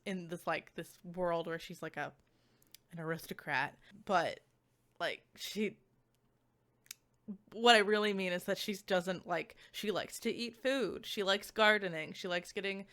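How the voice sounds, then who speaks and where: Woman, medium pitch, casual game reviewer, chatty, informal, halting delivery, frequent filler words. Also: a bit bright. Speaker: video game reviewer and streamer.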